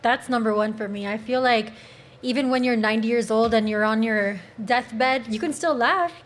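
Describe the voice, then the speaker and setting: A woman's voice: on the high side, normal speed. A woman on a podcast panel, talking in casual conversation. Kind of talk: casual chat.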